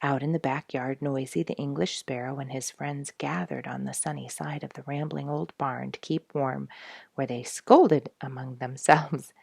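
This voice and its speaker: A woman reading a children's story aloud as scripted narration, in a gentle storytelling manner. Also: sits low, creaky, breathy edge, dry recording, studio quality. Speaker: storybook reader.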